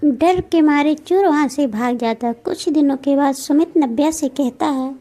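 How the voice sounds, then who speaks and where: A woman's voice: sits high, measured pace, warm tone. A woman reading scripted narration for a cartoon story.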